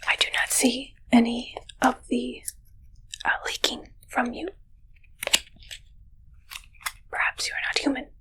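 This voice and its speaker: A woman's voice: on the high side, flat delivery, sharp tone, rough recording. Woman as a whispering, suspicious alien posing as human. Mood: suspicious, curious. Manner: halting, clipped, detached phrasing with dropped words. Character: curious, suspicious shapeshifting alien posing as human medical examiner. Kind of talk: whispered ASMR roleplay.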